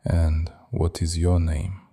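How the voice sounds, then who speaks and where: A man voicing a gravelly vampire prince. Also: low-pitched, flat delivery, takes it slowly, warm tone, in a large hall, exaggerated voice. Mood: cold. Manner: curt, demanding. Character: cold commanding male vampire prince.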